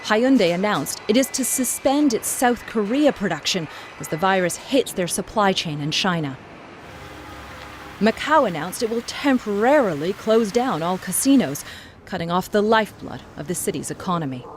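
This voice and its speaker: A female correspondent narrates a scripted news report. Her voice sits at a medium pitch, measured and formal, with slight noise behind it. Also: lively intonation. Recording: faint background noise.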